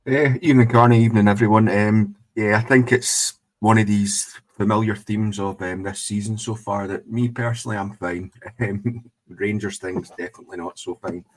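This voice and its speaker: Deep-voiced laid-back co-host. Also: male voice, fairly even intonation, moderate pace, low-quality recording. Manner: laid-back, hesitant with ums. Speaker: podcast co-host. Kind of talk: casual podcast chat.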